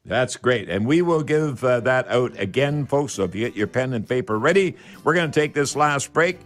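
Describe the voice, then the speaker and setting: A man's voice: mid-range voice, animated, normal speed, fairly bright tone. A Canadian man hosting a home improvement radio show, talking in a folksy, emphatic way and repeating himself to drive points home. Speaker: radio call-in show host. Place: radio studio.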